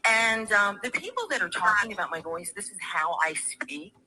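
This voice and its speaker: Female livestream caller, formal and defensive, sharp piercing tone, emphatic delivery, light background noise. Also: medium pitch, lively intonation, normal speed, poor audio. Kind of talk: opinionated panel discussion.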